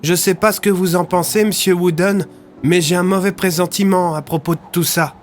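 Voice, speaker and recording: A man's voice: high-pitched, steady tone, moderate pace, slight background noise, voice pushed up, lightly acted. A man voicing a curious, uneasy young man with a polite, inquisitive manner in an audio story.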